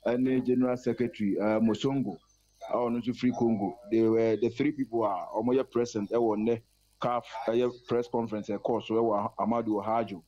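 A man's voice: mid-range voice, steady tone, moderate pace, mellow. A man giving a live sports news report over the phone, fluently mixing Twi and English. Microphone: phone line. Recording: muffled phone line.

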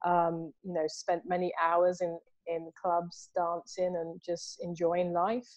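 Animated woman on a video call. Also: fairly low pitch, takes it slowly. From Southeast London, England. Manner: animated, gestures a lot, many fillers like "you know". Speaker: artist guest. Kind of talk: casual interview over video call. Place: home room with books and artwork behind. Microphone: video call, earphones.

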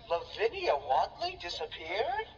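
A showy 1920s reporter acted by a woman hosts a radio-play special report with theatrical formality and extremely animated pitch. The voice sits a little high and sounds distant, in a reverberant hall. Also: unhurried, creaky, full character voice. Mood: intrigued. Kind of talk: old-time radio announcer acting. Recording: distant, dull, echoing radio-like sound, music behind.

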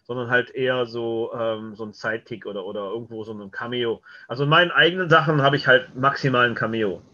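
Male guest, bright forceful voice, casual chat, slightly dull lo-fi recording. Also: mid-range voice, fast. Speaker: interview guest, writer and director. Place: home room, video call. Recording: dull, lo-fi video call audio.

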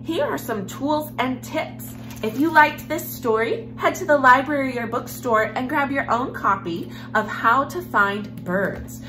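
Young lady doing kids story time, lilting expressive storyteller voice, music playing behind. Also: high-pitched, a little slow, hardly any pauses, poor audio. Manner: expressive, animated storyteller, with hushed passages. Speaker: host of a children's read-aloud series. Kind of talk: picture book read-aloud for children. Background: background music.

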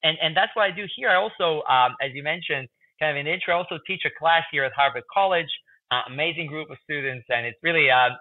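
Croatian guy in his 30s on a video call, slightly high voice, chatty with lots of fillers, thin phone-like sound. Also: quick pace, no echo. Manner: chatty, many fillers and repeats. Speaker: guest researcher. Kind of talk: casual interview answers. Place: room with whiteboard. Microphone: video call earbuds. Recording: tinny phone-like call audio, dry.

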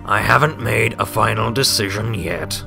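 A man voicing a courteous, grave old wizard pony with a formal, measured manner in a storybook reading. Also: fairly low pitch, gravelly, music in the background. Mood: sincere.

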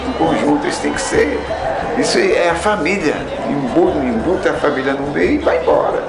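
A man with a gravelly voice makes his point bluntly amid a loud crowd. The space is echoey around him. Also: fairly high pitch, fairly quick.

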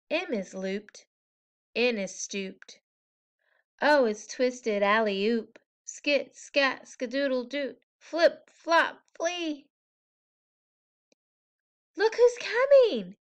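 A woman preschool teacher reading a picture book aloud to young children in a playful, expressive, sing-song manner. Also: fairly high pitch. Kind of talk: animated children's story read-aloud.

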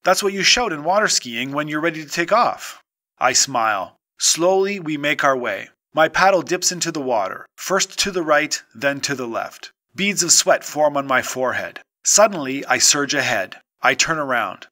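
A man reading a short story aloud as an audiobook narrator, with a measured, dramatic delivery and pauses. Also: a little high, animated, bright, forceful tone.

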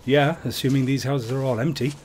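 A man's voice: mid-range voice, fairly even intonation, a little fast, a little mellow, a little hiss or hum, lightly acted. A man playing a caring, cautious husband among survivors in a comic dub. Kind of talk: amateur comic-dub voice acting.

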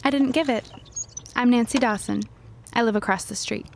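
Woman acting a high-voiced melodramatic teenage girl. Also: moderate pace, gravelly, a little hiss or hum. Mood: flustered pert. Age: teenage character. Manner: melodramatic, emphatic. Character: melodramatic, gossipy, scheming teenage schoolgirl. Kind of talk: period audio drama acting.

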